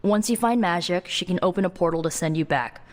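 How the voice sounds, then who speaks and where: An actress playing a casual, wry young spellcaster ally in a video game. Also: female voice, on the low side, a little fast, a little mellow, close to an everyday voice. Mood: wry, unsure.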